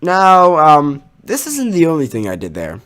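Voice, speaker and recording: A young man telling a casual personal story as a YouTuber, in an animated, exaggerating manner with slang and swearing. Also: a little high, measured pace.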